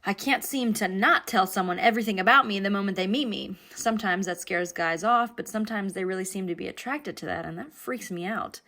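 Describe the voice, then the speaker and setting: Anxious young animated rideshare passenger, played by a woman: mid register, creaky fry, candid, rambling confessional delivery. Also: mid-range voice, brisk, few pauses. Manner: rambling, self-deprecating, candid. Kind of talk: naturalistic confessional conversation.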